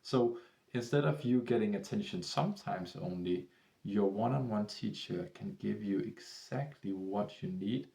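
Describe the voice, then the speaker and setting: Gravelly Danish-accented man, roomy echo. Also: lowish voice, darkish tone. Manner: rambling, many restarts, salesy enthusiasm. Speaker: Danish tutor. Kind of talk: persuasive talk to camera.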